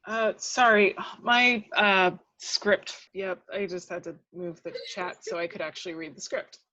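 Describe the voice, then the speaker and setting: A woman with a mid-range voice narrates the action of a script at a table read. She takes her time, telling it in a measured, dramatic storytelling way. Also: mid-range voice, steady tone, pushed and bright, muffled recording. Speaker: reader of action descriptions.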